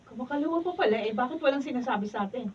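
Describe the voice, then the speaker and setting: An actress playing a resentful adult daughter, sharp-tongued and gossiping, in a radio drama. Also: female voice, high voice, lively intonation, racing, husky, far from the mic, lo-fi sound.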